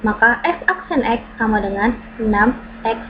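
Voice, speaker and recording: A woman reading through a math lesson, stating formulas and worked examples in a steady, methodical, step-by-step way. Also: fairly high pitch, moderate pace. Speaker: math tutor. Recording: dull lo-fi recording with clear background hum.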